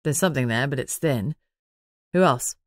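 A woman voicing a calm, teasing man in an audiobook, measured and matter-of-fact. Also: very deep voice, no echo, clean studio sound, pitched below the performer's own voice. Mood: measured, thoughtful. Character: calm teasing man, werewolf packmate and investigator.

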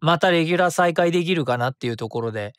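A man's voice: on the high side, lively intonation, very fast, dry recording, studio quality. A man hosting his own web radio show, chatting and reading listener comments in a rambling way with many fillers.

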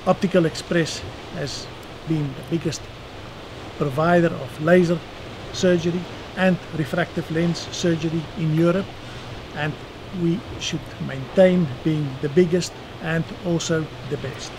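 Man, 60s, South African accent, fairly high voice, noisy outdoor terrace interview. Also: steady tone. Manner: measured, dry self-deprecating humor. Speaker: eye surgeon guest. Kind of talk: seated interview answers. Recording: outdoor noise behind voice.

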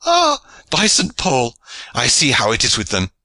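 Brief: man doing an eager old knight for an audiobook, earnest, given to high excited cries, crisp bright tone. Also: measured pace, dry recording, exaggerated voice. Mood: rousing. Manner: exclaiming, high-pitched outbursts.

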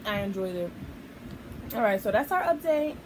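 A young woman chatting casually to camera as a vlog host, slangy and lively, while eating at a table in her living room. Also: on the high side, unhurried. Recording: noisy, somewhat distant phone audio.

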